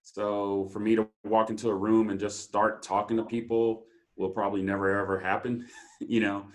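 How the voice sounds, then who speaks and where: A man's voice: on the low side, level tone, moderate pace. A man taking part in a group discussion, speaking in a hesitant, easygoing way with many fillers. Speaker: group participant.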